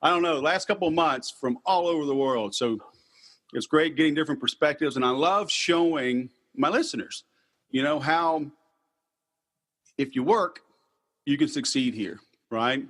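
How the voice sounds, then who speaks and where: A man's voice: on the high side, normal speed, fairly bright tone. A man with a Texas accent hosting a sales podcast, talking in a casual, salesy, direct way. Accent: Texan. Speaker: podcast host. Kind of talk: podcast interview and self-promotion.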